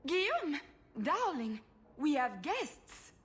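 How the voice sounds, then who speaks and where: A woman's voice: sits high, very animated, takes it slowly, a bit bright, heavily acted. A woman playing a fussy, gushing noblewoman and doting mother with a French accent in a video game. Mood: flustered. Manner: gushing, fluttery. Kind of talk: video game cutscene acting.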